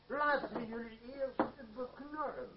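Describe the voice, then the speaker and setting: A man's voice: very high voice, slow pace. An actor playing a pompous, sentimental old father with ponderous oratory in a radio drama. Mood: sentimental, theatrical. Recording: muffled old radio play, crowd noise.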